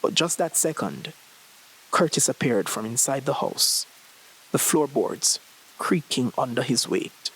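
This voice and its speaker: Young man narrating a story, medium pitch. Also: slightly rough, low-quality recording. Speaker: story reader. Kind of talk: scripted short story narration.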